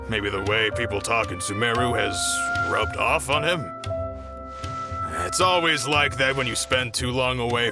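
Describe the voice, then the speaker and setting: A man playing an earnest, observant subordinate in a video game, talking in a measured, musing way. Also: medium pitch. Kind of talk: video game character acting.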